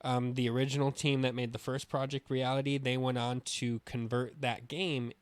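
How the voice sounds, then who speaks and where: A man's voice: mid-range voice, level tone, moderate pace, clean tone, no echo. A man co-hosting a gaming podcast, talking casually and with strong opinions, swearing now and then. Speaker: podcast co-host.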